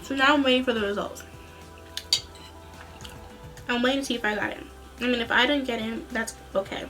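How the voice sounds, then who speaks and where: A young woman telling a story in a casual, rambling vlog style, animated, with a slightly tired, tense voice. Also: on the high side, a little fast, faint music behind. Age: young adult. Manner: animated, rambling, acts out quoted dialogue. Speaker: student singer and vlogger.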